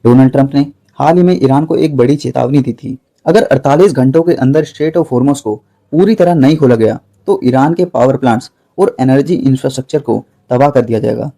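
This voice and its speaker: A man's voice: medium pitch, fairly quick, mellow. A man reading a scripted news narration in a formal, dramatic way.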